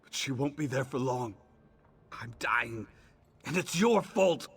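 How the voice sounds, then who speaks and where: A man's voice: mid-range voice, lively intonation, slow, fairly bright tone, breathy edge, heavily acted. A man voicing a dying male merchant who is bitter and scheming, speaking in an accusing way, as AI-voiced game dialogue.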